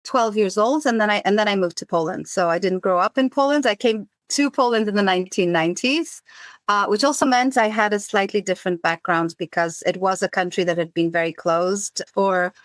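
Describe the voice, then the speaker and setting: A woman's voice: mid-range voice, brisk, dry recording. A woman in her forties, raised in Zambia, thoughtfully answering interview questions about her work. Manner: thoughtful, many fillers and restarts. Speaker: podcast guest, campaign coordinator.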